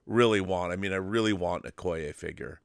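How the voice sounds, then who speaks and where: Male talk host, lower register, halting casual fan chatter, close dry sound. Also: creaky, clear, resonant tone, dry recording. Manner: halting, repeats and restarts phrases.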